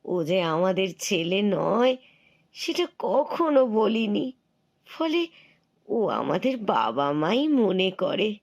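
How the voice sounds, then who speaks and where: A woman voicing a tender, grieving village mother in a cartoon dub, speaking slowly and pleadingly. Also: medium pitch, big pitch swings, vocal fry, heavily acted. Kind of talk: cartoon dubbing.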